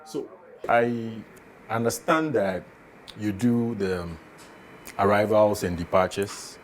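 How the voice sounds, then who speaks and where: Low-voiced male interviewer. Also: slow, a little hiss or hum, poor audio. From Ghana. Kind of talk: conversational interview questioning.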